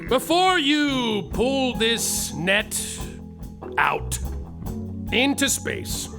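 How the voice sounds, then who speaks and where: An actor playing a cocky, swaggering space detective who narrates his own story deadpan and pleads frantically, on a live theater stage. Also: sits high, creaky, heavily acted. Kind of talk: comic musical podcast narration. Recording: guitar music behind a live stage.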